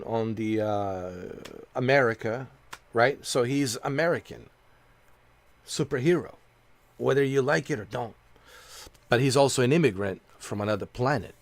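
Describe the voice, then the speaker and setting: A man talking casually while he streams a game, meandering from thought to thought with plenty of fillers and restarts. His voice sits at a middling pitch, and the sound is dry and close with no room echo. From Bosnia. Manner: rambling, repeats himself, lots of fillers. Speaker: gaming streamer. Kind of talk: casual chat while playing.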